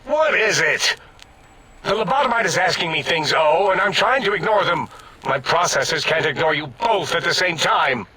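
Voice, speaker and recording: An actor playing an irascible, pompous robotic brain scientist in a video game, scolding through an electronic voice filter. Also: male voice, sits high, expressive, moderate pace, pushed and bright, husky, heavily acted. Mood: exasperated, yelling. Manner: bombastic, exasperated, scolding. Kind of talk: video game voice acting, retro sci-fi comedy.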